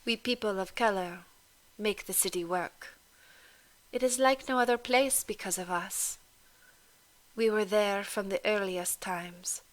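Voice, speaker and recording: A woman doing a proud, quiet young woman. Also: mid-range voice, unhurried, pauses often, close to an everyday voice, low-quality recording. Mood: somber. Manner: quiet, restrained, soft-spoken. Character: proud, composed young woman, guarded and dignified.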